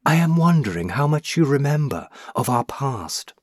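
A man's voice: mid-range voice, very animated, moderate pace, no echo, clean studio sound, pitched above the performer's own voice. A man reading an audiobook, voicing the ghost of a young woman who was burned as a witch, tender and pleading. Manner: pleading, tender, rising to anguish.

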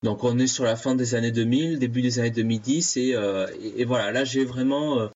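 A man in his thirties from France, chatting casually on a comics podcast, halting and searching for his words. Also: mid-range voice, fairly even intonation, darkish tone. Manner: hesitant, playful, searching for words. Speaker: podcast co-host. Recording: dull lo-fi sound.

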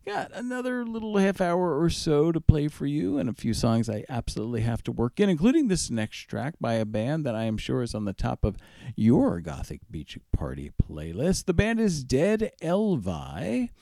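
A man's voice: a little high, very animated, moderate pace, gravelly, mellow, no room sound, studio quality. A man hosting a music radio show, casually announcing songs with playful, wry asides.

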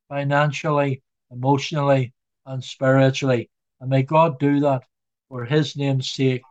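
Male preacher, earnest and measured, monotone, muffled lo-fi sound. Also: medium pitch. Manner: earnest, measured preaching with slow emphatic phrases. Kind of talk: radio sermon.

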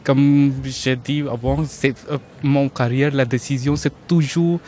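A man's voice: medium pitch, unhurried, darkish tone, slight background noise. Indian man speaking hesitant learner French. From North India. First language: Hindi. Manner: hesitant learner's French, many restarts and fillers. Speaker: interview guest. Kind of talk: casual conversational interview.

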